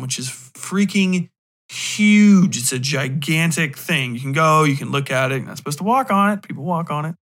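Bright, forceful American man rambling casually. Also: medium pitch. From United States, Ohio. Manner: profane, chuckling, digressive. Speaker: podcast host. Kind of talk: casual comedic rant, rambling talk.

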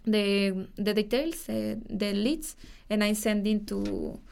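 A Mexican woman, a native Spanish speaker, chatting as a podcast guest, halting at times as she searches for words in English. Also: mid-range voice, creaky, darkish tone, clear, resonant tone. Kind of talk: casual interview chat.

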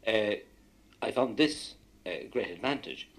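A man's voice: lowish voice, animated, unhurried, slightly rough. An Irish man whose first language is Irish, telling rambling stories from his own experience in a radio discussion. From Ireland. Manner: anecdotal, rambling recollection. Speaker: guest.

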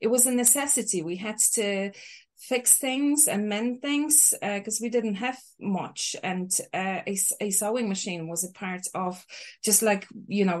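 Polish lady in an interview, slow thoughtful answers, lots of uhs. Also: medium pitch. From Poland. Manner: thoughtful, hesitant, many uhs. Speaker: guest, sewing teacher.

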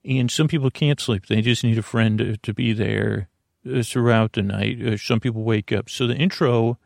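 A man's voice: fairly even intonation, normal speed, creaky, no room sound, studio quality. A man hosting a bedtime podcast, rambling in a meandering, easygoing way with lots of hesitations and self-corrections. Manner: low-key, easygoing. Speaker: podcast host.

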